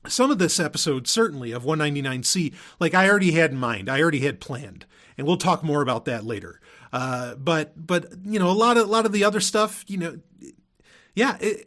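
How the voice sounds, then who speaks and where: Man, slightly high voice, podcast host, casual monologue, rambling, emphatic, repeats himself. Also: a bit bright. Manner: rambling, emphatic, often repeats and circles back on phrases. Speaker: podcast host and audio drama writer.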